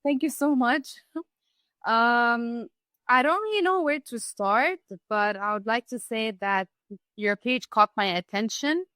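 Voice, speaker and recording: Bright, clear-voiced woman telling her story. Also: a little high, expressive, measured pace, dry recording. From Lebanese, grew up in Zimbabwe and the Gambia. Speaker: podcast guest, holistic wellness practitioner. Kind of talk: conversational personal storytelling.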